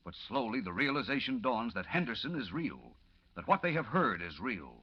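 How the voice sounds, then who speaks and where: Male serial narrator, 1940s radio. Grave and theatrical, animated delivery with rough edge, muffled old sound. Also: medium pitch, normal speed. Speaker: announcer-narrator. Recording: dull old radio recording.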